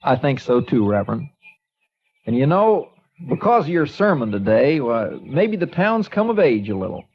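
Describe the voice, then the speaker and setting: An actor playing a folksy small-town newspaper editor in a 1950s radio drama, with easygoing, drawling talk. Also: male voice, mid-range voice, very animated, normal speed, slightly rough. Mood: warm. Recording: dull old radio transcription.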